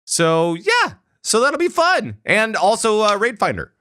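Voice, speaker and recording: A man's voice: sits high, sing-song, measured pace, bright, forceful tone, clear, resonant tone, no echo, clean studio sound. A man hosting a podcast, chatting casually about a game and rambling with many fillers and restarts. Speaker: podcast host. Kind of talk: casual gaming chat.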